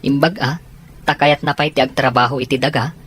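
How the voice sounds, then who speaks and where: A man's voice: medium pitch, fairly even intonation, a little fast, fairly bright tone, a little hiss or hum, lightly acted. Doubtful Filipino village man played by an actor. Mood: doubtful, chatty. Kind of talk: radio drama acting in Ilocano.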